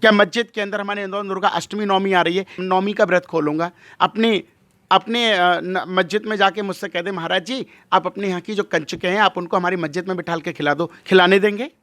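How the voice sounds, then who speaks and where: High-pitched, emphatic male religious leader. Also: fairly even intonation, fairly quick, a bit bright, no room sound. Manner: emphatic, rhetorical questions and repetition. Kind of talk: impassioned interview monologue.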